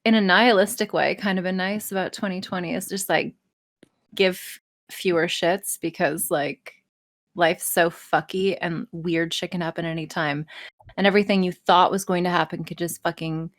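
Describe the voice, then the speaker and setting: A woman's voice: on the low side, steady tone, moderate pace. A woman chatting casually on a podcast, talking in a candid, wry way with plenty of swearing. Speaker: podcast guest, audio creator.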